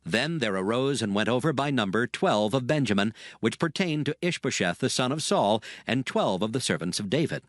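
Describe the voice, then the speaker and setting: A man narrating dramatized scripture as a scripted reading, in a solemn, measured manner. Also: mid-range voice, lively intonation, dry recording, clean studio sound. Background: background music.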